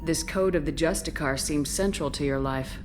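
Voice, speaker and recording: A woman's voice: sits low, moderate pace, background noise, natural voice. An actress playing a composed, direct female commander in a sci-fi video game, speaking plainly and probingly. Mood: curious, composed. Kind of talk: dramatic game dialogue.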